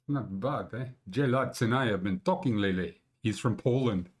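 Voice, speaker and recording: A man's voice: on the low side, moderate pace. A man in his sixties with a Canadian accent rambles through a casual talk over his screen, tossing in blunt and sometimes crude jokes. He talks from a small room at home into a webcam mic on his desk. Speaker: mountain conditions show host. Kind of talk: casual screen-share commentary.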